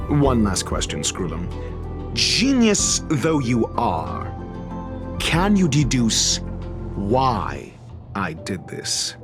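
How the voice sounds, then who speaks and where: A man voicing an aloof, haughty scholar-doctor who scorns fools, speaking eloquently with dramatic pauses in a video game cutscene. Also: medium pitch. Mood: polite, probing.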